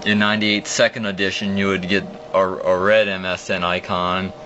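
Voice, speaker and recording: A man's voice: low-pitched, flat delivery, moderate pace, bright, forceful tone. A young man in a casual, rambling walkthrough of an old computer, explaining as he clicks, recorded on a phone at a home desk. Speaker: vlogger showing an old computer. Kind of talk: casual off-the-cuff screen walkthrough. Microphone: phone camera held at the screen. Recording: dull lo-fi phone audio with hum.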